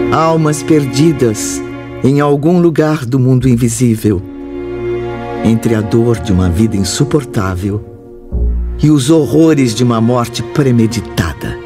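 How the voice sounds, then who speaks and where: A performer playing an earnest, devout spiritist medium, narrating an audio drama in a measured, formal, solemn way. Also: medium pitch, lightly acted. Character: young spiritist medium, earnest and devout, recalling past work.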